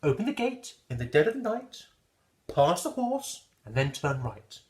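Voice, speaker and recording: A man voicing an earnest, excited little ladybird in a children's storybook reading. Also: on the high side, measured pace. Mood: urgent, excited.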